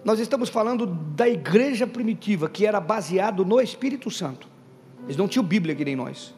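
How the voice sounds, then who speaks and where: A pastor with a notably high voice delivers an emphatic sermon, calling out to his church and pushing key words into loud bursts. The sound carries a long hall reverb, and faint music plays behind him. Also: lively intonation, a little fast. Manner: emphatic, calls out to the congregation; builds to loud stressed bursts. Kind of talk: Pentecostal sermon.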